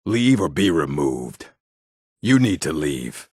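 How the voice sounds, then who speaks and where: An actor playing a gruff, aggressive orc warrior in a video game, with a rough, gravelly voice and a blunt, menacing manner. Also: male voice, a bass voice, sing-song, takes it slowly, a little mellow, no echo, clean studio sound, full character voice. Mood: gruff, wary. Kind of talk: video game character barks.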